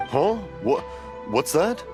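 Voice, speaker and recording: A man's voice: fairly high pitch, very animated. A man voicing a cheerful, rambling old craftsman father who is hard of hearing, in a video game. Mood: puzzled. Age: older. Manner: slow, rambling, drawn-out. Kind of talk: animated video game voice acting. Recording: music behind.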